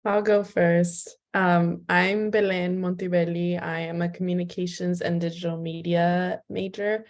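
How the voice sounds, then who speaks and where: A woman's voice: fairly low pitch, little pitch movement. A young woman student introducing herself carefully. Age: young adult. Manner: slow, careful self-introduction.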